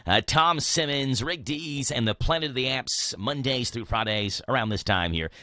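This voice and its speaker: A man hosting morning radio, upbeat and casual. His voice is bright and forward, recorded close and dry in the studio. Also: medium pitch, normal speed, no room sound. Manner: upbeat, plain-spoken, casual. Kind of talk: radio show hosting and live ad read.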